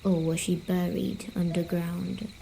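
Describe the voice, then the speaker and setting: A woman voicing a gentle, teasing female sleep paralysis demon, speaking softly and soothingly in an ASMR story-telling roleplay. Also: on the low side, level tone, gravelly, a little hiss or hum. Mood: hushed storytelling. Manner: slow, soothing, coaxing, softly spoken.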